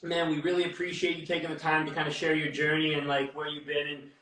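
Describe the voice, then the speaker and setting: Laid-back high-voiced man, echoey room. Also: flat delivery, fast, pushed and bright, a little hiss or hum. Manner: laid-back and friendly, heavy on "like" and "yo". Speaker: host. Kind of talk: casual interview chat.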